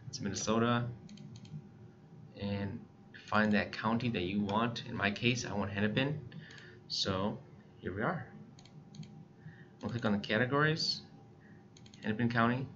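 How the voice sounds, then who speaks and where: An American man giving a casual, plain-spoken step-by-step tutorial as he presents software on screen. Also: lowish voice, noisy background. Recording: dull lo-fi voice-over recording.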